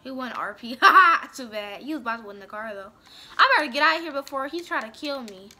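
A woman's voice: high-pitched, lively intonation, fast, pushed and bright. A young woman casually commenting on a video game at home, bickering with her brother and swearing a lot. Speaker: gaming vlogger.

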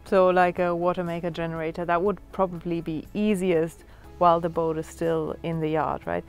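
Young woman on a sailboat, lower voice, chill chatty way of talking. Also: level tone, normal speed, clear, resonant tone, faint music behind. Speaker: guest sailor. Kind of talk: casual conversation on a sailboat. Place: outdoors on a sailboat cockpit.

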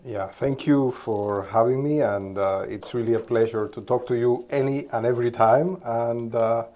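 A man in his forties from Cuba, giving relaxed, thoughtful interview answers, pausing often and restarting as he talks, filmed outdoors on a rooftop terrace on a phone at arm's length. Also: mid-range voice. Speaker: interview guest, DevOps engineer. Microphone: phone held at arm's length.

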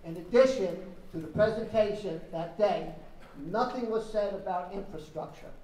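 A man making an emphatic public comment in deliberate phrases, heard across a large gymnasium. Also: high voice, mellow, a little hiss or hum. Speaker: speaker at public hearing. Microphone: handheld PA heard from far camera. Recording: echoey gymnasium, distant, audience murmur.

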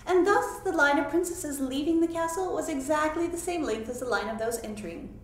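A woman reading a humorous story aloud in an expressive, theatrical manner. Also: sits high, a little fast. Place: library meeting room. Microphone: far camera. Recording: echoey room, far from mic, noisy.

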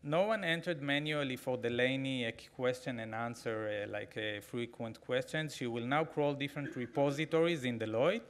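A man in his forties with an Israeli accent, giving a keynote talk with a live demo from a podium microphone, halting with frequent fillers and restarts. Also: mid-range voice. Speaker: conference keynote speaker. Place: large conference hall, at a podium.

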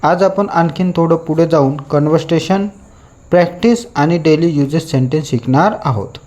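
A man with a Marathi Indian accent speaks as a language teacher leading reading practice. His voice sits a little high for a man. He goes very slowly and deliberately, in an instructional, repeat-after-me manner. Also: a little mellow.

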